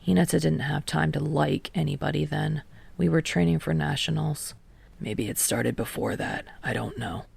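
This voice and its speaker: A woman voicing a blunt teenage boy athlete in a low, breathy murmur with a flat, grumbling, halting manner for a story reading. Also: dry recording, exaggerated voice. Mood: insistent.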